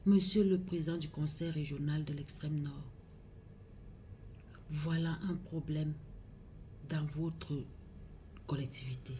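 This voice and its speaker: A woman with a Cameroonian accent giving a solemn public address as a regional councillor, grave and pleading, in deliberate phrases. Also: deep voice, fairly even intonation, warm tone, slight background noise.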